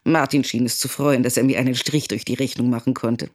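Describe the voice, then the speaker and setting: A man acting as an estranged spouse in a radio play, cool and irritated. The voice is mid-pitched with a husky edge, laying out grievances precisely. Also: fairly even intonation, brisk, lightly acted. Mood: irritated, matter-of-fact. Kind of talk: first-person radio drama monologue.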